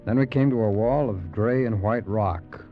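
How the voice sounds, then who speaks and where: A man's voice: on the low side, moderate pace, natural voice. An actor playing a tough, wisecracking adventurer who narrates his own 1940s radio drama in a dry, sardonic, hard-boiled manner. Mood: narrating. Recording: dull, lo-fi old radio broadcast with music.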